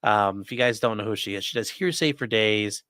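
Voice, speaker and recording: A man's voice: on the low side, fairly quick, sharp tone, no echo, clean studio sound. A man hosting a livestream, joking through sponsor reads and giving blunt, sarcastic opinions. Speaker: livestream host, defense attorney. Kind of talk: casual livestream commentary.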